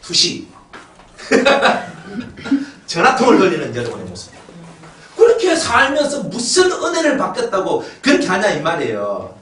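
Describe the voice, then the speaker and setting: A man with a Gyeongsang accent preaching a lively, joking sermon as the pastor. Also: sits high, a little slow, slight background noise. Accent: Gyeongsang Korean. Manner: animated and joking, acts out quotes in dialect. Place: church sanctuary. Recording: roomy church hall from a distance.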